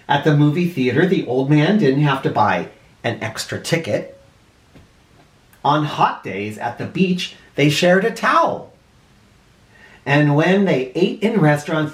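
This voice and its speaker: Animated man reading a children's storybook. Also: a little high. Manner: expressive, deliberate, dramatic emphasis. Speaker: first grade teacher. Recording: roomy, echoey indoor sound.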